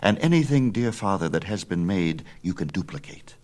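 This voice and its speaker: A man's voice: deep voice, lively intonation, moderate pace, darkish tone. A man voicing an eager, headstrong young son who persuades insistently in a dramatic storybook reading. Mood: excited, persuasive.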